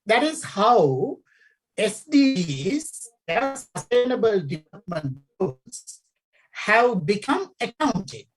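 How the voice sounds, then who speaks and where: A woman's voice: mid-range voice, sing-song, slow pace, breathy edge, poor audio. A middle-aged woman from Sri Lanka, an academic talking through her research in a hesitant way, with many fillers and repeated key phrases. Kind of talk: conversational interview.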